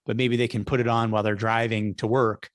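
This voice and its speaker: A man's voice: medium pitch, little pitch movement, brisk, no room sound. Male podcast host, close dry sound. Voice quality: practised public speaker. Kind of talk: conversational podcast talk.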